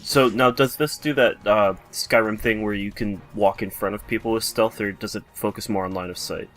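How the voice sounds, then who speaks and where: A man's voice: fairly low pitch, steady tone, moderate pace, a bit bright. A man in casual chat as a let's play co-commentator, asking questions about the game. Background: game menu music.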